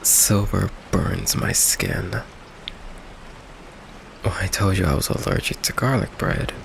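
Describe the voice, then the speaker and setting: Male actor for gentle goth vampire boyfriend, ASMR roleplay. Heavy vocal fry, unhurried, hesitant soft delivery, rain ambience. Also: low voice, a little hiss or hum, heavily acted, low-quality recording. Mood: nervous, confessional. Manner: hesitant, halting, soft-spoken, apologetic. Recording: steady rain ambience behind the voice.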